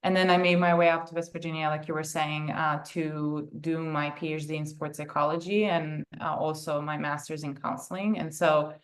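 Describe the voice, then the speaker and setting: Young female therapist, low pitch, conversational interview guest on a video call. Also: flat delivery, normal speed, few pauses. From Serbia. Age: young adult. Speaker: guest, therapist and sports psychology consultant.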